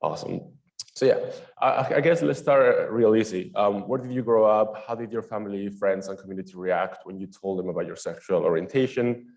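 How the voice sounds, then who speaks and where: A young man moderating a conference panel, reading out guest introductions in a chatty, lively way with small laughs. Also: fairly low pitch, a little fast, creaky.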